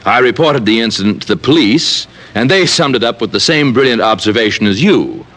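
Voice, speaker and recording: An actor playing a haughty, fussy owner of a fashionable tailor's store, speaking pompously and precisely in an old-time radio drama. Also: male voice, mid-range voice, animated, fairly quick, a bit bright, natural voice. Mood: fussy, aggrieved. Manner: pompous, disdainful, precise wording.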